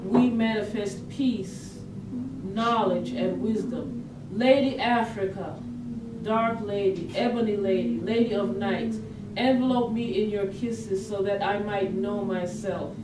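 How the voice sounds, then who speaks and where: Very slow, chanting, serene matriarch played by a woman. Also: medium pitch, close to an everyday voice. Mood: solemn, reverent. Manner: deliberate, chant-like short phrases. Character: serene elder Nana, spiritual matriarch invoking ancestors. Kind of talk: incantatory poetic stage monologue. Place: indoor room at a staged reading. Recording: echoey, noisy room, distant mic.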